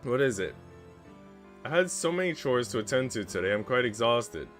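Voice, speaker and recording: A man's voice: mid-range voice, sing-song, moderate pace, a bit bright, voice pushed up. A tired young storybook maid read by a man speaks curtly and guardedly, with dry defiance. Faint music plays behind. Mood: weary.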